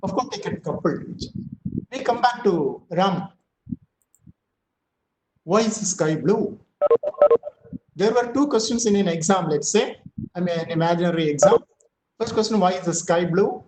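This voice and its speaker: High-voiced man giving a joking lecture. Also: reverberant, noisy background. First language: not Tamil. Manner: chatty, joking asides with filler pauses. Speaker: physics professor. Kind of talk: online lecture.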